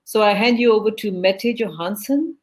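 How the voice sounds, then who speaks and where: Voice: medium pitch, normal speed. An event host giving a rambling, effusive introduction to a speaker over a video call, with many fillers. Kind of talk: live online event introduction.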